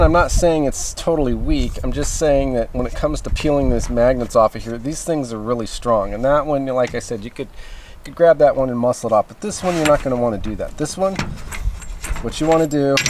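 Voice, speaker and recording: A man's voice: medium pitch, background noise. A man giving a casual, rambling hands-on demonstration as he explains gear, in an informal manner. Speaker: presenter of CB radio antenna tests.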